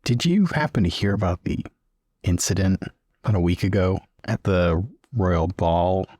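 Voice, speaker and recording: A man improvising in character and narrating as a player in a tabletop game, measured and careful. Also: low voice, a little mellow, dry recording, studio quality. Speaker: player in a tabletop role-playing podcast.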